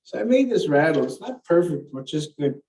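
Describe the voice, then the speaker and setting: A man's voice: a little high, big pitch swings, brisk, a little mellow. A man from Canada giving a keynote as an elder, teaching earnestly through stories and retold conversations. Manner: earnest, teaching, retells conversations with many restarts. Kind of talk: keynote talk with storytelling. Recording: voice picked up from a distance.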